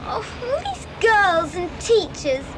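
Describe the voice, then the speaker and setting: A woman's voice: very high-pitched, huge pitch swings, takes it slowly, noisy background, exaggerated voice. A woman playing a plaintive, stuck-up and anxious schoolgirl, bleating away in a children's audio drama. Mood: fretful, whining.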